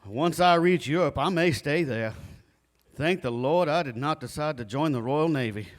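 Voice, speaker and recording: A man's voice: on the high side, moderate pace, natural voice. An actor playing an earnest young adventurer reading out his letters home in a live stage drama, plain-spoken and declamatory. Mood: wry.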